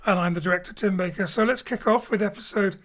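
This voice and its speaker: A man's voice: sits high, quick pace, fairly bright tone. A man speaking as host and director, giving an informal, explanatory introduction from a home studio. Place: home studio, remote link.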